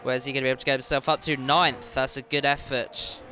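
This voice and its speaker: A man's voice: medium pitch, level tone, quick pace, sharp tone, a little hiss or hum. A man giving play-by-play commentary on an online racing league, his voice worn and tired from streaming, with frequent ums. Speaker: race commentator.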